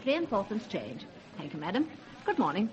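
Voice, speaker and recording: An actress plays a polite, busy shop assistant in a radio play. Her medium-pitched voice moves up and down in a very animated way, on a dull old recording. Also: fairly quick, lightly acted. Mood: polite, brisk. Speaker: actor in a radio detective drama. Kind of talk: radio drama acting.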